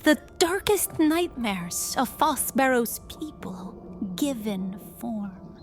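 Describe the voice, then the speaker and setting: A woman as an earnest, high-voiced young mage. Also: big pitch swings, slow. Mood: grave. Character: earnest young noblewoman mage, uncertain yet resolute. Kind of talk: audio drama storytelling. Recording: music under the voice. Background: music.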